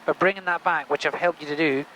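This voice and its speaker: A man's voice: a little high, steady tone, fast talker, sharp tone, rough recording. A man instructing in flight over a cockpit headset, casual, reassuring and sweary, with engine noise. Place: small helicopter cockpit in flight. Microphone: aviation headset.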